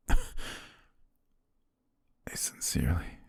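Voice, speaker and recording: A man playing a possessive, lovestruck butler in an ASMR romance roleplay, speaking formally in a hushed, breathy voice. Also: drawn-out words, no echo, clean studio sound. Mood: wounded, sorrowful devotion. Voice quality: husky, breathy, near-whisper. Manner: formal, ornate, hushed diction.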